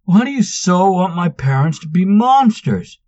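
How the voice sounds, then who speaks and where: A man's voice: fairly low pitch, a little slow, darkish tone, no echo, highs cut off. A man voicing the exasperated young Manx. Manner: exasperated, insistent.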